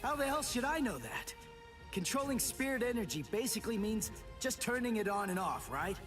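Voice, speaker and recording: Hot-headed teen boy, very high pitch, blunt, anime game dub, music behind. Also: sing-song, fairly quick, a bit bright. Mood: exasperated. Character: brash, hot-headed teenage boy swordsman. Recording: distant sound under game music.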